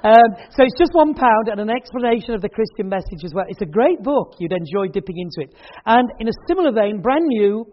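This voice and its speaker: High-voiced guy hosting a church night, joking with the crowd, kinda dull lo-fi sound. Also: animated, brisk. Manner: chatty, joking with the audience. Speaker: event host and speaker. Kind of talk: casual chat at a church event. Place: hall with an audience.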